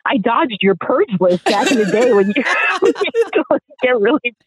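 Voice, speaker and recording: A woman in her late thirties telling candid, animated stories with laughs as an interview guest. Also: fairly high pitch, unhurried, a little hiss or hum. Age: 30s. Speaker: guest, competitor. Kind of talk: casual interview chat. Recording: tinny phone-like remote line.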